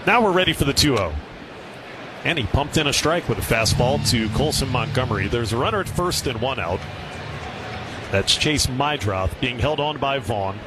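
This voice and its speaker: A man's voice: medium pitch, normal speed. A man with an American accent calling a live baseball game on the radio as play-by-play announcer. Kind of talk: live radio sports commentary. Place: stadium broadcast booth. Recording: noisy ballpark ambience behind. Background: steady ballpark noise.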